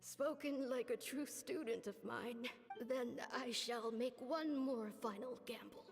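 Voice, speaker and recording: A weary, stern woman physician speaks with slow, measured gravity in a fairly high voice. She is a sickly but resolute game mentor, heard in a long reverberant hall with music underneath. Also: gravelly. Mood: solemn, resolute. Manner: measured, sighing, world-weary. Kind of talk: English video game voice acting.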